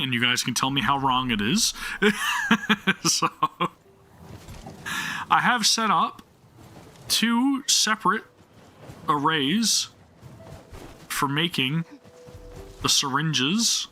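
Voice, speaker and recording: A man's voice: on the high side, expressive, slow pace, pauses often, bright, forceful tone. A man live-streaming a game, talking to viewers and thinking aloud in a casual way, often questioning himself.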